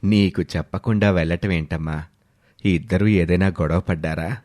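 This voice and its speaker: A man voicing a kindly older neighbour in a story, with a gentle, fatherly way of asking. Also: deep voice, steady tone, normal speed, no room sound, clean studio sound, pitched below the performer's own voice, close to an everyday voice. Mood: concerned, gentle.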